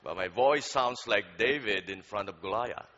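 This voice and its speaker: A man preaching a sermon in a church, praying and telling a story in a slow, deliberate, halting way with fillers, growing louder as the story builds. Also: fairly low pitch, a little hiss or hum. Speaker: guest preacher. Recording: dull sound with long hall reverb.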